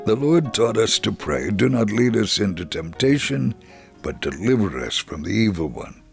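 A man playing a devout older man recounting his life in a dramatic reading, with a gravelly, husky voice. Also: on the low side, sing-song, normal speed, faint music behind. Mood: reflective, devout. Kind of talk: dramatic first-person reading.

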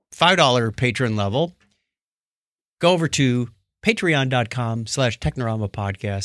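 A man's voice: a little high, a bit bright, no echo, studio quality. A man co-hosting a podcast in a casual, playful, chatty style. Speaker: podcast co-host. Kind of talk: casual chat and listener thanks.

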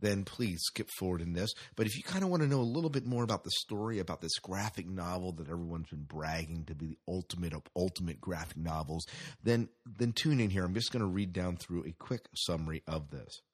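Male podcast host, American, low husky voice, casual and unpolished, self-correcting delivery. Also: animated, fairly quick. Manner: informal, hesitant, self-correcting. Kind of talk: casual sci-fi news and movie segment talk.

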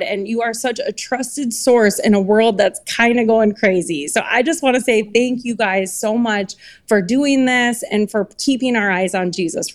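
A woman in her thirties, a podcast guest, talking in a bubbly way and laughing often. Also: a little high. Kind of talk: casual podcast chat.

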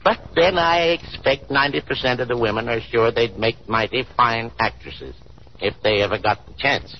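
A man's voice: medium pitch, moderate pace, natural voice. An actor playing a fond, worried, middle-aged father in a 1930s radio drama, with a folksy, chuckling way of talking. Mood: amused, reminiscing. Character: fond, worried older father of a grown daughter. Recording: dull, hissy 1930s radio recording.

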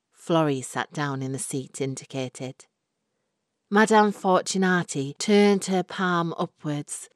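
A woman reading literary fiction as a narrator, in a measured, expressive storytelling manner. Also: low-pitched, dry recording, studio quality. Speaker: short story reader.

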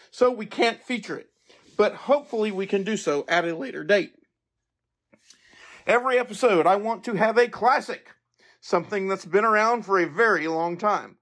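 High-pitched man presenting a podcast. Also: a bit bright. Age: 40s. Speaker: podcast host.

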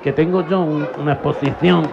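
A man's voice: on the high side, steady tone, a little fast, gravelly. A man playing a bumbling fake foreign art museum director who mangles Spanish with made-up English and French words, in an improvised radio comedy sketch at an outdoor fair. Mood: silly. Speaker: comic guest. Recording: lo-fi live recording with crowd. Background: crowd noise.

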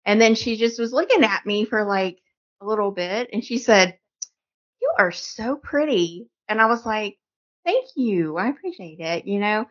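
A woman co-hosts in casual chat, telling an animated story and acting out each quoted voice. Her pitch swings widely, and the recording sounds dull and lo-fi. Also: normal speed. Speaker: podcast co-host.

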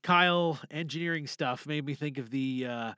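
A man's voice: fairly high pitch, moderate pace, sharp tone, clean tone, no echo, clean studio sound. A tired man hosting a podcast in a casual, rambling, self-deprecating and joking way. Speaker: podcast host.

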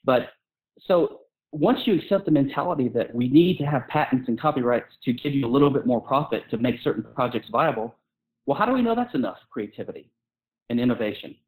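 A man's voice: mid-range voice, expressive, brisk, a little mellow, poor audio. A man speaking as a guest author in an informal intellectual discussion, arguing his case and often rephrasing mid-sentence.

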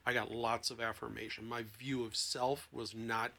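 Male therapist podcast guest, mid-pitched with vocal fry, emphatic conversational storyteller. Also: level tone, moderate pace, sharp tone. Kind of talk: conversational explanation with anecdotes and acted-out quotes.

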